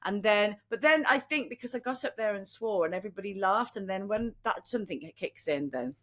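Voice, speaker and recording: British woman, mid-pitched voice, very varied intonation, casual podcast chat, candid and self-mocking. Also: expressive, brisk. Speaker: podcast co-host. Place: room in central London.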